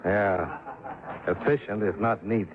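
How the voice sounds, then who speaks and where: An actor playing a dry, wisecracking insurance investigator who narrates a 1950s radio drama. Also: male voice, on the low side, fairly even intonation, takes it slowly. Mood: wry. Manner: dry, wisecracking, matter-of-fact. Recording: tinny old radio broadcast with music.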